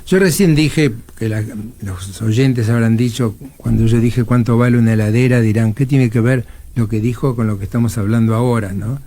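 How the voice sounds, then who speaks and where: A man's voice: mid-range voice, normal speed, hardly any pauses, dark tone. Argentine man hosting a radio interview. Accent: Argentine Rioplatense. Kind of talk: casual conversational interviewing. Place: radio studio.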